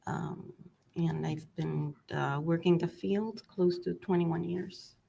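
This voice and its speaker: A Cuban-born woman whose first language is Spanish, testifying as a court interpreter, soft-spoken and hesitant with many ums. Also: fairly low pitch, steady tone, slow, gravelly, a little hiss or hum. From Cuba.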